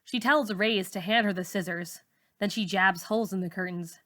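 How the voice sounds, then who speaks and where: A woman reading a novel aloud as a teacher would, in expressive first-person story narration. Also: mid-range voice, moderate pace.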